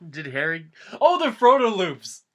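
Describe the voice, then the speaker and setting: A man's voice: sits high, wildly swooping pitch, a little slow, pushed and bright. A man in casual comic chat, incredulous and nitpicking, stammering as he comments on a story reading.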